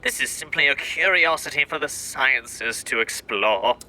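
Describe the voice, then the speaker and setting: A man playing an earnest astronomer interviewed in a radio drama, formal and slightly halting, with a sharp, thin voice. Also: medium pitch, lively intonation, poor audio. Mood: earnest, assured. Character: earnest, dismissive male astronomer, phone interview guest.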